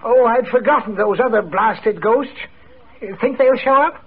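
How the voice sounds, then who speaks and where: A man's voice: extremely high pitch, normal speed, slightly rough, full character voice. A man playing a crotchety old miser in theatrical 1940s radio comedy style. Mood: grumbling, reluctant. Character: crotchety old miser Scrooge, comically reformed. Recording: tinny, noisy old radio broadcast.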